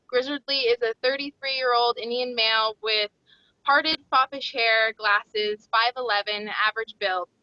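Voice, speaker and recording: Bright, high-voiced woman reading stage directions. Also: highs cut off. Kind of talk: screenplay table read, scripted narration.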